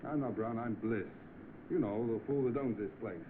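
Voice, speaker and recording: Male actor as wry wealthy gentleman, medium pitch, unruffled, dryly polite, 1930s film dialogue, noisy narrow soundtrack. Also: normal speed, close to an everyday voice. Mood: cool, assured. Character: cool, wry wealthy gentleman posing as accomplice.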